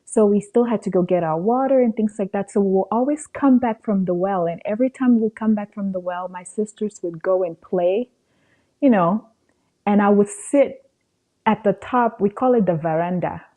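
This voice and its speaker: A woman's voice: medium pitch, moderate pace, dark tone, poor audio. A woman from Cameroon, a podcast guest, telling a personal story in a conversational way and acting out dialogue as she goes.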